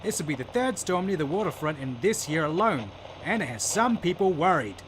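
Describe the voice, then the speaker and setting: Man doing a cheesy news anchor, high voice, smooth newsreader, faint noise. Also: very animated, brisk, low-quality recording. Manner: smooth newscaster delivery.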